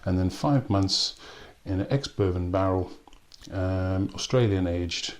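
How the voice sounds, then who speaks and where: A man from South Africa with a South African accent, reviewing a rum in a relaxed way with frequent pauses and ums. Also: low voice. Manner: unhurried, with frequent ums and restarts. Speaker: spirits reviewer. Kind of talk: casual spoken tasting review.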